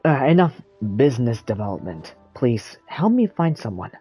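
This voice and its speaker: Dry, husky, exasperated game character. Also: male voice, mid-range voice, big pitch swings, normal speed, dark tone, soft background music. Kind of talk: video game character acting.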